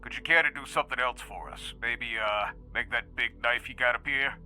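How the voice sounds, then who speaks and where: A man's voice: medium pitch, brisk, bright, forceful tone, soft background music. A man playing a breezy, informal researcher who nudges a test subject along in an audio drama. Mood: casual. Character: brash, informal male researcher running tests on an anomaly.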